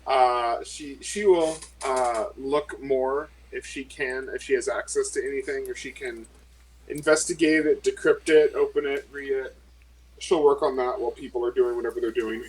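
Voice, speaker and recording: A man's voice: medium pitch, fairly even intonation, moderate pace, rough recording. A man playing a tabletop game, describing his character's actions hesitantly with restarts. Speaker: player. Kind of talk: casual tabletop game chat.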